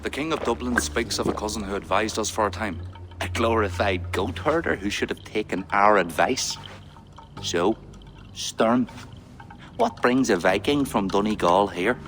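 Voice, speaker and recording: A man's voice: on the low side, moderate pace, a bit bright, a little hiss or hum, natural voice. An actor playing a polished, scheming middle-aged king in a video game, diplomatic and evasive in his speech. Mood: smooth. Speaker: game character. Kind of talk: video game cutscene acting.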